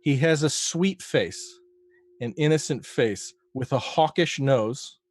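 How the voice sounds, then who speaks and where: A man narrating a tabletop role-playing game as game master, slowly describing a scene in detail. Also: medium pitch, no room sound.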